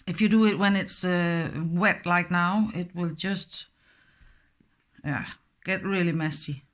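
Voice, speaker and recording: A middle-aged woman with a Danish accent, a native Danish speaker, talking slowly and hesitantly through a step-by-step craft tutorial with many fillers. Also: lowish voice, steady tone.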